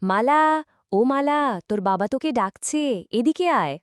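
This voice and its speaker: A woman's voice: fairly high pitch, expressive, normal speed, clear, resonant tone, dry recording, studio quality, lightly acted. A woman voicing a caring, fretful middle-aged village mother in a cartoon folk tale. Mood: calling. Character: aging village mother, caring, fretful about money and family.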